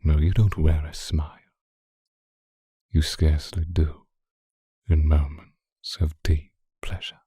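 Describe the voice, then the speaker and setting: A man playing an obsessive, seductive vampire in an intimate, hushed ASMR roleplay, speaking deliberately and often whispering close to the microphone. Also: flat delivery, no echo, exaggerated voice. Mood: seductive, possessive. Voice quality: very deep, breathy, husky, often whispering. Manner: slow, deliberate, hushed, formal wording. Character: seductive male vampire stalker, obsessive and predatory. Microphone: close microphone, dry sound.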